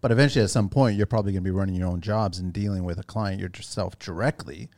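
A man's voice: deep voice, fast talker, darkish tone. A man in his forties hosting a casual roundtable chat, asking a young worker questions and giving advice. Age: 40s. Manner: asks questions, gives mentoring advice.

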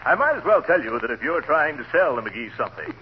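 Blustery, swooping, high-voiced pompous neighbor. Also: male voice, sing-song, quick pace. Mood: flirtatious, jovial. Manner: blustery, hesitant, chuckling. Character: breezy, pompous, flirtatious middle-aged male neighbor. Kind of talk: 1940s radio comedy acting. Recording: noisy, muffled 1940s radio recording.

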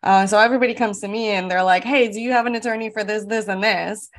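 A woman's voice: medium pitch, steady tone, quick pace. Chatty woman hosting an interview. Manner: chatty, affirming, many "um" and "right?". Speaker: interview host. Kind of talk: conversational interview.

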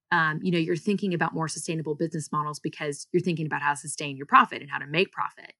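A woman's voice: low voice, sharp tone, no echo, studio quality. A woman from the US and Singapore, a founder giving explanatory, conversational answers as an interview guest. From United States and Singapore.

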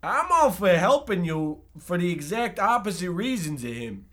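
A man's voice: high-pitched, very animated, moderate pace, fairly bright tone. A man playing a naive, superstitious younger brother, an earnest small-town boy with a folksy manner in a comic radio play. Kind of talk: amateur comic old-time radio acting.

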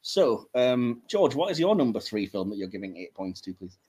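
A man with a husky voice hosting a casual podcast chat about films. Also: mid-range voice, big pitch swings, normal speed, a little mellow. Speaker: podcast host. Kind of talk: casual film ranking chat.

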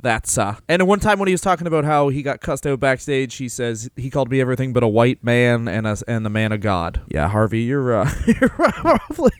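A man's voice: mid-range voice, sing-song, fairly quick, hardly any pauses, clear, resonant tone, dry recording, clean studio sound. A man co-hosting a casual podcast, rambling loosely with lots of fillers and offhand swearing. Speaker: podcast co-host. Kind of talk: casual wrestling podcast chat.

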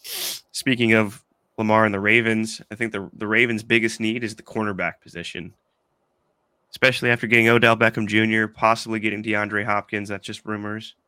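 Stuffy-nosed man, fairly low voice, casual sports pod host, rambling chat. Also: level tone, a little fast, pushed and bright, clean tone, no echo. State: congested from allergies. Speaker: podcast host.

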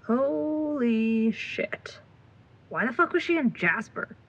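A woman's voice: fairly high pitch, lively intonation, takes it slowly. A young woman playing a jittery, chatty amateur ghost investigator in an audio drama, talking in casual, rambling slang. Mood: shocked. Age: young adult. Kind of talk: audio drama acting, chatty self-talk. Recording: muffled recording with background noise.

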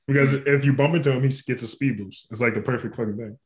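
Laughing, profane podcast host. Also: male voice, medium pitch, fast talker. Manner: swears freely, laughs often. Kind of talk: casual chat.

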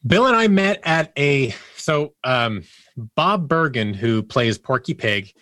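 A man chatting casually as an interview guest, telling stories in an animated way and slipping into quoted dialogue. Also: mid-range voice, takes it slowly, dry recording. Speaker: interview guest, audio drama producer. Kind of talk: casual conversational storytelling.

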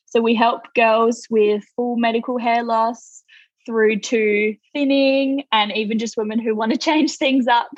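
A woman's voice: on the high side, steady tone, unhurried, dry recording, dull audio. A woman in her twenties with an Australian accent, a podcast guest chatting casually with many fillers and restarts. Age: 20s. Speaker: guest, toy company founder.

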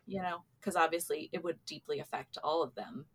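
Female author being interviewed, lower voice, loose and casual delivery. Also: brisk, a bit bright, slightly rough. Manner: loose, hedging, laughs easily. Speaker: author guest.

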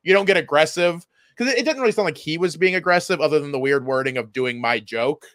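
A man's voice: fairly high pitch, lively intonation, quick pace, fairly bright tone, no echo, studio quality. A man who co-hosts a comedy podcast, chatting casually, stammering over repeated words and swearing freely. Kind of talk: casual chat.

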